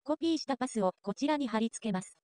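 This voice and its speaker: A woman reading scripted narration for a software tutorial. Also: a little high, expressive, quick pace, no echo.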